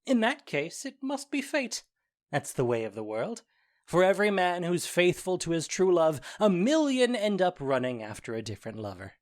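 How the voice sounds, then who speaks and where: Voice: mid-range voice, normal speed. An actor playing a mischievous fairy servant in an audio drama, telling things with gleeful, boastful theatricality. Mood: playful, breezy. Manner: animated, boastful storytelling.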